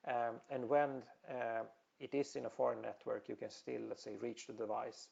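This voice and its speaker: A man's voice: mid-range voice, level tone, moderate pace, vocal fry, darkish tone, dull audio. A man from Sweden with a Swedish accent, giving a technical presentation with many fillers and restarts. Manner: hesitant, many fillers and repeated words. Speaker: industry presenter.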